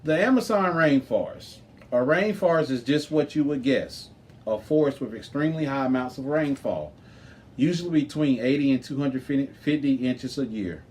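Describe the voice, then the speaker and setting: A man's voice: mid-range voice, lively intonation, moderate pace, a little mellow, a little hiss or hum. A man reading a children's chapter book aloud as scripted narration. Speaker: storybook reader.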